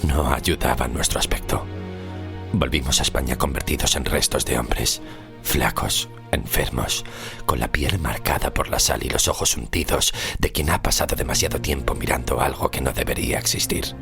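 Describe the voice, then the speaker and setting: An actor playing a haunted, scarred sailor of centuries past, narrating his survival story in an audio horror drama, grave and deliberate, slipping into near-whispers. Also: rumbling low, vocal fry, music in the background. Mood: grave, bitter.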